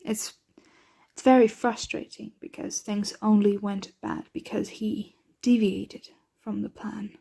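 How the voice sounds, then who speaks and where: A woman playing a protective, doting yet anxious vampire mistress in an ASMR roleplay, breathy and often whispering, with formal, measured diction. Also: medium pitch, flat delivery, warm tone. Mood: frustrated, weary.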